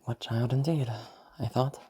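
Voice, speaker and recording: A woman playing a detached, eerily calm sociopath who recounts the past in a halting, musing monologue with a husky, creaky voice. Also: a bass voice, full character voice. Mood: detached reminiscing. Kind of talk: first-person audio roleplay monologue.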